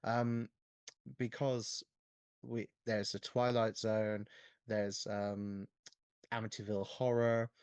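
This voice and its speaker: A man co-hosting a casual podcast, picking words thoughtfully with halting, hesitant phrasing. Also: fairly low pitch, level tone, darkish tone, clean tone, no room sound, highs cut off. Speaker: podcast co-host.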